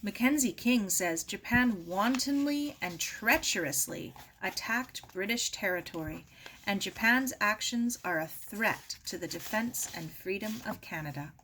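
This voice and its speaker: A woman's voice: mid-range voice, expressive, measured pace, bright, forceful tone, a little hiss or hum, low-quality recording. A woman voicing a grieving, irritable storybook uncle, bitter and emphatic. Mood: grave.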